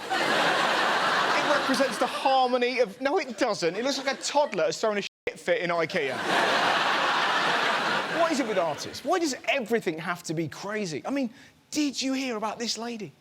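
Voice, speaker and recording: A man's voice: very high voice, expressive, normal speed, long pauses. A man with an English accent hosting a comedy show, delivering a mocking topical monologue with exaggerated outbursts to a laughing studio audience. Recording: loud audience and noise.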